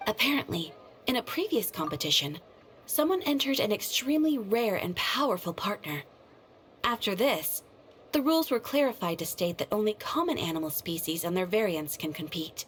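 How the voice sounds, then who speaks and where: A woman's voice: mid-range voice, expressive, a bit bright, slight background noise, natural voice. A woman playing a composed, formal and helpful receptionist at an adventurers' guild in a video game. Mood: courteous, helpful. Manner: polite, formal, measured explanation. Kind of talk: video game character acting.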